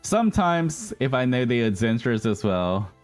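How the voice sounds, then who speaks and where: A man's voice: medium pitch, very animated, normal speed. A man hosting a livestream, chatting casually and in a rambling way to his viewers, whom he addresses as guys. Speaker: livestream host, virtual streamer. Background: background music.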